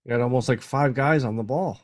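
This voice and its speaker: A man's voice: medium pitch, brisk, dry recording, studio quality. A man, co-hosting a watch-along with short wry remarks and frequent laughter. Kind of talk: casual live match commentary.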